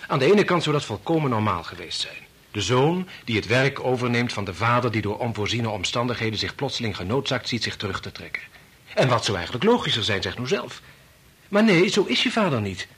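An actor with a Netherlands Dutch accent playing a reasonable researcher, emphatic and persuasive, in a radio drama. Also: male voice, mid-range voice, very animated, fairly quick, fairly bright tone, breathy edge, exaggerated voice.

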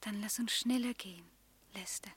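A woman's voice: medium pitch, moderate pace, breathy edge. An actress playing a dreamy, devoted young woman in love in a German radio play, wistful and intimate. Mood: wondering, hushed.

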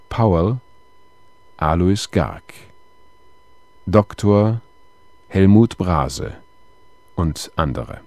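A male radio announcer reads credits formally from a script. His voice is low and mellow, and he takes his time, leaving very long, measured space between phrases. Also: dry recording.

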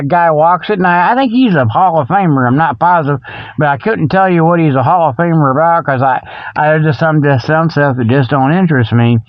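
A man with a slightly high-pitched voice delivers a rambling, comic solo monologue. His talk is folksy and full of mock exasperation, halting and circling back over phrases. The sound is dry and a little dull, like a lo-fi recording. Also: no echo. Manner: halting, often repeats phrases while thinking aloud. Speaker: host of a comic Bigfoot show.

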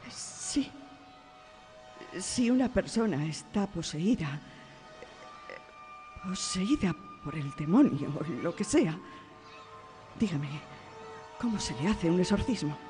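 Anxious mom in a radio play, castilian spanish, slow and halting, faint music under. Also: female voice, fairly low pitch, animated, mellow. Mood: hesitant. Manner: hesitant, halting. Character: worried, hesitant mother seeking help for her daughter. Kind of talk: Spanish radio drama acting.

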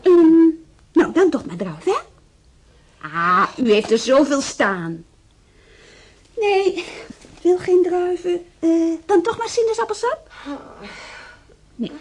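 A woman's voice: very high voice, very animated, slow, long pauses, a little mellow, full character voice. A woman playing a young, chatty nurse's aide in a radio drama, bustling, coaxing and easily embarrassed, on a noisy recording.

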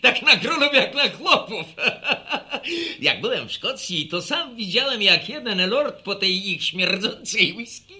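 An actor playing a jovial, scheming, hard-drinking villager in a radio drama, chuckling and teasing in a folksy way. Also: male voice, high voice, sing-song, a little slow, pushed and bright, full character voice. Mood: amused, scheming.

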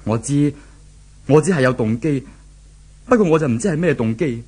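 A lovelorn, troubled man with a husky voice. He speaks earnestly in a Hong Kong Cantonese accent, in the style of a 1970s radio drama. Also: mid-range voice, lively intonation, moderate pace, mellow. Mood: earnest, worried. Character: lovelorn, anxious man searching for his girlfriend.

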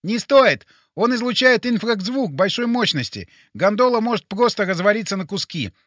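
Man putting on a high-pitched bossy sidekick with garbled Rs. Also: sing-song, moderate pace, a bit bright, dry recording, clean studio sound. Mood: brisk explaining. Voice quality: speech impediment, garbled R sounds. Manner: emphatic, insistent. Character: bossy, excitable, bespectacled male sidekick, stubborn and self-important.

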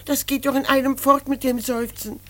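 A woman's voice: sits high, flat delivery, normal speed, lightly acted. An actress playing an anxious, reproachful middle-aged mother in a radio drama, pleading and scolding insistently. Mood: worried, insistent.

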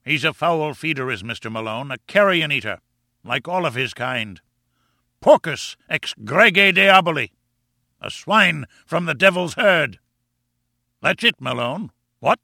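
Big blustering professor, performed by a male narrator for a storybook: pompous, mocking bully, forceful boom, widely swinging pitch. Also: lively intonation, measured pace, gravelly, no echo, studio quality, full character voice. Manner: booming, rumbling, pompous. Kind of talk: audiobook character acting.

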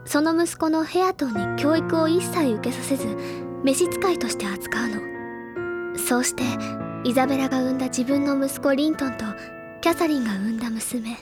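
A woman playing an earnest, imaginative high-school senior girl in an audio drama, speaking deliberately in literary, feminine phrasing. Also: lightly acted. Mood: solemn storytelling. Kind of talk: Japanese audio drama acting, dramatic explanatory monologue. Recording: loud music bed behind the voice.

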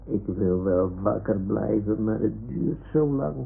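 Drowsy old toy lion, grumbly, low gravelly slow voice, old muffled radio play. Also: male voice, exaggerated voice. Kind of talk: 1960s-70s radio play acting.

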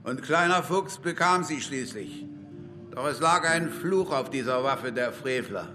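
A man's voice: medium pitch, animated, measured pace, lightly acted. An actor playing a proud, bitter Comanche warrior who tells his story in solemn, defiant oratory in a German radio play. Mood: grim. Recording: music bed behind the voice.

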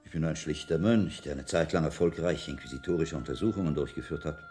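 An actor playing a shrewd, sober monk investigator in a medieval radio drama, measured, analytical and probing in his delivery. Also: very deep voice, mellow, faint music behind. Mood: reasoned, insistent.

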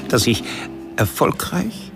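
An actor playing a mocking, erudite serial killer in a radio play, speaking formally and pedantically with halting, whispered passages. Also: male voice, loud music behind the voice, close to an everyday voice. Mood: mocking, measured. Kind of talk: recorded taunting monologue in a radio play.

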